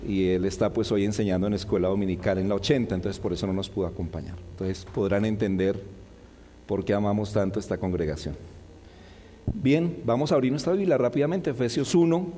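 Slightly hoarse preacher in a reverberant hall. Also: male voice, on the low side, mellow, slight background noise. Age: middle-aged. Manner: didactic, repeats and lists key points in measured phrases. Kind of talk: expository Bible sermon. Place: large church hall.

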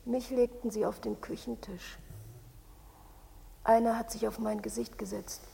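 A woman's voice: fairly high pitch, flat delivery, a little slow, creaky, warm tone. An actress playing a traumatised young girl deportee in a radio play, insisting that she is a woman now. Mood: shaken recounting. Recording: reverberant hall, noisy background.

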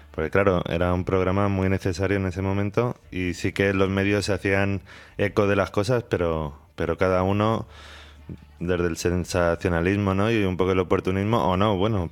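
A man with an accent from Spain interviewing on radio in a casual, halting, thinking-aloud way. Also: deep voice, smooth.

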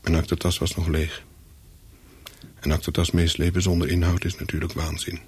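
An actor playing a wary, secretive English hotel guest in a radio play, telling his story in short, measured sentences with a husky voice. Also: flat delivery, a little mellow. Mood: brooding, reflective. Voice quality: low, husky. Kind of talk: radio play, first-person narration with dialogue.

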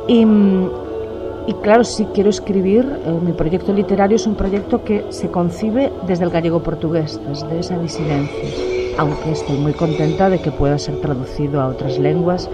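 Writer, middle-aged woman, low voice, calm and reflective, music playing softly underneath. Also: low-quality recording. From Galicia. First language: Spanish. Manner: measured, reflective, many "eh" pauses. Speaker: novelist, interviewee. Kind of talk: thoughtful interview answers.

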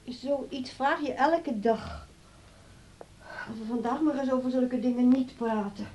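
Fretful, mellow-voiced grown daughter. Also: female voice, high voice, unhurried, dull audio. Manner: complaining, put-upon. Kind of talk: radio play acting.

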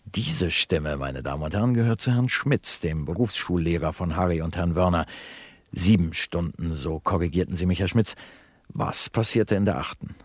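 A man's voice: low voice, moderate pace, husky, no echo. An actor playing a courteous, slick male talk show host in a satirical radio play, formal and probing. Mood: polite, probing.

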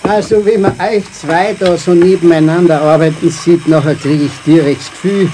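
Male actor playing old grandfather, Bavarian accent, higher-pitched male voice, proud, easygoing, homely colloquial talk, radio drama. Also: steady tone, normal speed, noisy background, close to an everyday voice. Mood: pleased. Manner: colloquial, jovial. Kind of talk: children's radio play acting.

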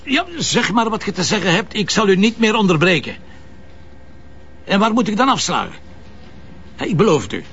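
A man's voice: high-pitched, sing-song, moderate pace, long pauses, fairly bright tone, highs cut off, slight background noise, exaggerated voice. A man with a Flemish accent playing a headstrong, impatient police commissioner in a TV crime drama, speaking bluntly and emphatically. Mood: pleading, placating. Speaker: lead actor in a police series. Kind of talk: naturalistic TV crime drama acting.

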